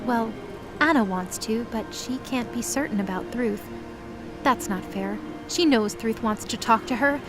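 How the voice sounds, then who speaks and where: A woman's voice: a little high, measured pace, over music, rough recording. A woman narrating a scripted audio drama in the present tense as its storyteller.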